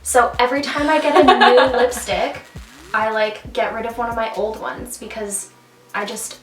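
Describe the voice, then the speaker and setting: A woman's voice: on the high side, fairly even intonation, normal speed, fairly bright tone. A young woman from Canada chatting on a vlog in a bubbly, rambling way, full of fillers. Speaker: vlogger. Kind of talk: casual chat. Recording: roomy, from a distance, music behind.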